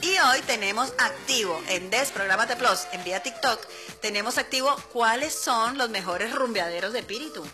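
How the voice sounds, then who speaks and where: A woman's voice: fairly high pitch, normal speed, music in the background. A woman with a Venezuelan accent hosting a radio show, chatting casually and playfully, laughing often as she talks to her listeners. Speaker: radio host. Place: radio studio.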